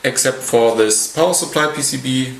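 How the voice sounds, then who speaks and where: A man giving an unscripted technical walkthrough as a hobbyist presenter, halting and pausing mid-sentence. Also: medium pitch, gravelly. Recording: roomy echo, light background noise.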